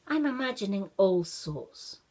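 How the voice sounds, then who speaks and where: A woman's voice: medium pitch, sing-song, a little slow, darkish tone. A woman voicing an eager, puzzled little boy in a children's story, exclaiming and musing aloud.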